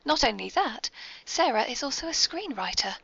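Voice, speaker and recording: An English woman with a highish voice hosts in a playful, courteous way. Her delivery is whimsical and chatty. The sound is dull and lo-fi, like an amateur podcast. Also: big pitch swings, moderate pace, gravelly, sharp tone, a little hiss or hum. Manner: playful, polite. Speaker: podcast host. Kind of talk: whimsical hosting and chat.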